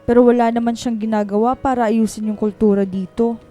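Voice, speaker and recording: Actress as supportive Filipino coworker, frank and conversational, mellow higher voice, radio drama with music underneath. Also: female voice, fairly even intonation, brisk, natural voice. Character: frank, supportive office friend giving advice. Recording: music bed under dialogue.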